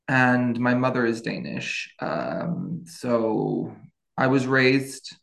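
A man's voice: mid-range voice, lively intonation, drawn-out words, creaky, fairly bright tone. A man in his twenties from France, a podcast guest telling his life story in a casual, hesitant way with many fillers and restarts. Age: 20s.

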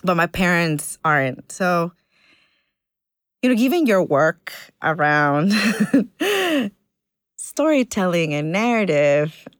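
Low-voiced earnest woman organizer. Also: sing-song, dry recording, studio quality. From Ecuador. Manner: earnest, thoughtful, halting with fillers. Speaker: immigrant community organizer. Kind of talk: reflective interview conversation.

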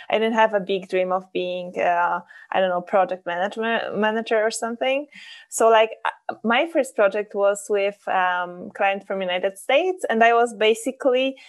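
A woman co-hosting a podcast, talking enthusiastically with some loose non-native phrasing. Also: medium pitch. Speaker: podcast co-host. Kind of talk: casual podcast chat.

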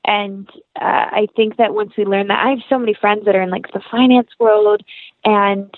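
A woman's voice: medium pitch, steady tone, moderate pace, no echo. A young woman as an interview guest, chatting in an earnest, heartfelt, rambling way. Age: young adult. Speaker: podcast guest, actress. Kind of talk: casual interview chat. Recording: tinny phone-like line.

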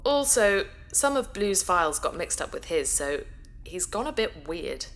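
A woman acting as a small living teddy bear in a sci-fi audio drama, squeaky and childlike, earnest, loyal and anxious. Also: sing-song, unhurried, a bit bright, soft background music, full character voice. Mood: curious. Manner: earnest, pleading.

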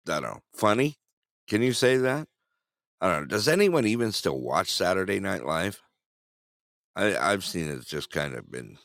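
A man's voice: deep voice, creaky, fairly bright tone, dry recording, studio quality. A man hosting a casual live-stream show, rambling, chuckling and reacting to viewer chat. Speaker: host.

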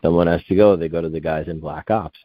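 Interview guest, male, 50s; deep voice, muffled dry recording; digressive, restarts his sentences often. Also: fairly even intonation, quick pace, dry recording. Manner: meandering, self-correcting, digressive. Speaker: guest researcher. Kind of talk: rambling conversational interview.

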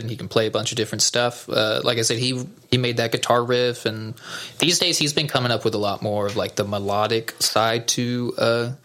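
Man, musician guest, bright clear tone, relaxed rambling chat. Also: mid-range voice. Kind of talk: casual interview chat.

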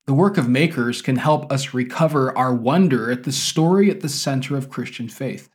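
A man hosting a podcast with measured, articulate phrasing, in the style of an educator presenting and interviewing. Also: mid-range voice. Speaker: podcast host; university teacher. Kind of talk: scripted podcast introduction, then conversational interviewing.

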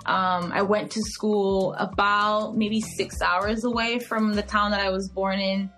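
A woman in her thirties with a Cameroonian English accent talks as a podcast guest. She tells stories expressively and fills pauses with small hesitations. Faint music sits under her voice. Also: mid-range voice, flat delivery, a bit bright. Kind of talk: podcast interview, casual chat.

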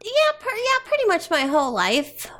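A woman's voice: very high voice, wildly swooping pitch, normal speed, sharp tone, exaggerated voice. A woman voicing a friendly, chatty male rabbit who lives in a burrow, rambling and hesitant.

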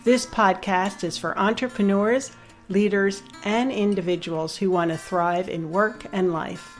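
A woman's voice: mid-range voice, fairly even intonation, measured pace, soft background music. A woman hosting a podcast, polished and upbeat as she introduces her guest and asks questions. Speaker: podcast host. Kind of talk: scripted intro then interview questions.

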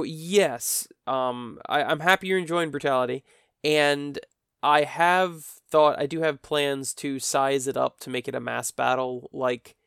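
A man's voice: medium pitch, creaky, a bit bright, clear, resonant tone, no room sound, studio quality. A man hosting his own podcast, tired and stuffy with a cold, rambling with self-mocking humor. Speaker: podcast host. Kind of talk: solo podcast chat. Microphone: podcast microphone.

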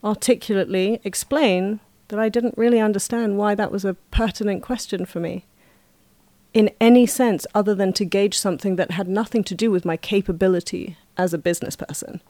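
A woman's voice: medium pitch, fairly even intonation, fairly quick, dark tone, no room sound. A woman talking as a podcast guest in casual conversation, reflective and explaining her views at length. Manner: reflective, talks at length in long flowing explanations. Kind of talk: casual chat.

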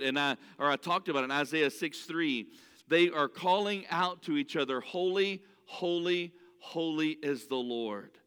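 A man's voice: a little high, fairly bright tone, clean tone. A man preaching a sermon in an earnest way, often restarting his sentences, for whom English is not his first language. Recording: long hall reverb.

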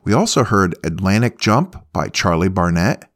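A man's voice: low-pitched, big pitch swings, moderate pace. A man presenting music as the host of a show. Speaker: podcast host.

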